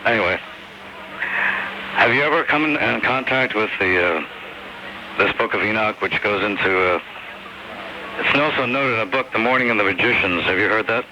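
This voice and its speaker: A man phoning in to a radio show, speaking in a halting way. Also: fairly low pitch, animated. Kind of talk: halting phone-in talk. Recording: dull noisy phone call over shortwave radio.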